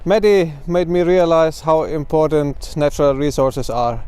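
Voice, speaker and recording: A man's voice: fairly high pitch, steady tone, a little slow, mellow, clean tone. A man from Finland with a Finnish accent, giving a composed testimonial in non-native English.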